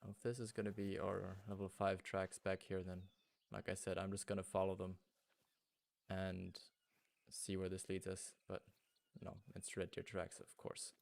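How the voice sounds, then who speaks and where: Male streamer, German native, deep and flat delivery, casual running chat with viewers. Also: moderate pace, gravelly. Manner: thinking aloud, greeting chat viewers. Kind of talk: casual gaming livestream chat.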